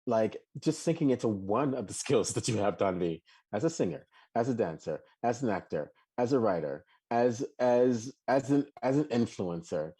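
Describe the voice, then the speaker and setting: Husky-voiced man, animated live chat. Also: moderate pace, few pauses. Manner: animated, many restarts, bursts of high laughing exclamations. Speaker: podcast co-host.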